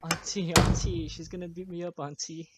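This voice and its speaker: A man's voice: a little high, little pitch movement, moderate pace, heavy background noise, lightly acted. A male actor playing a playful, teasing country boy in a radio drama. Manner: teasing, casual. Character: cheeky, playful country boy of a magical land.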